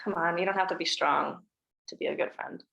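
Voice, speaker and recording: A woman's voice: lowish voice, steady tone, brisk, vocal fry, fairly bright tone, reverberant, lo-fi sound. A woman reading a grieving, wry teen girl. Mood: subdued grief.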